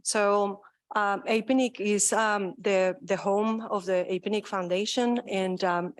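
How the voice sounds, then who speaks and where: A middle-aged woman with a Colombian accent and Spanish as her first language, moderating a conference session in a halting, informal way. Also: medium pitch, no echo. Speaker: panel moderator. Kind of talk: conference presentation.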